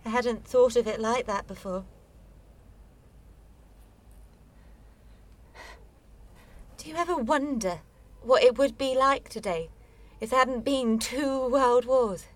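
A woman's voice: on the high side, normal speed, close to an everyday voice. An actress in a radio play voicing a blunt, bitter woman burdened by family guilt, with a sardonic, probing manner. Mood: pensive.

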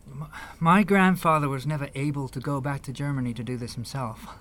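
A man's voice: mid-range voice, steady tone, brisk, a bit bright, natural voice. An actor playing a patient, persistent young man in a radio play, earnest and placating.

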